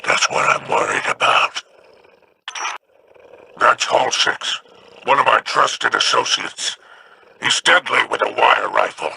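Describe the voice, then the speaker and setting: A man voicing a deliberate, slow-speaking crime boss in a video game. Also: mid-range voice, creaky, noisy background. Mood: calm.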